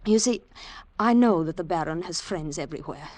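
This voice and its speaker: An actress playing an earnest, wary woman living under threat, speaking deliberately in 1940s radio drama style. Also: lowish voice, expressive, darkish tone, no echo, natural voice. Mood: earnest warning.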